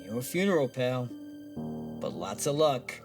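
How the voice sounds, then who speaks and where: A man's voice: medium pitch, animated, unhurried, music in the background, natural voice. An actor playing an irritable, wisecracking male psychic who talks in old-time streetwise slang in an audio drama. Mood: resigned. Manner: slangy old-time wise guy phrasing.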